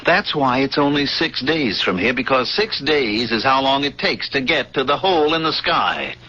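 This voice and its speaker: A frightened ex-smuggler pilot. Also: male voice, mid-range voice, lively intonation, sharp tone. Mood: fearful. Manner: tense, insistent, halting under fear. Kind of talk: 1970s radio drama acting with narration. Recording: dull 1970s radio broadcast.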